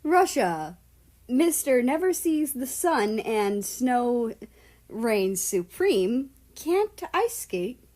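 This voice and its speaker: Cocky, teasing young male story character played by a woman, raised pitch, emphatic. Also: sing-song, extremely slow, a little mellow, full character voice. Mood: teasing disbelief.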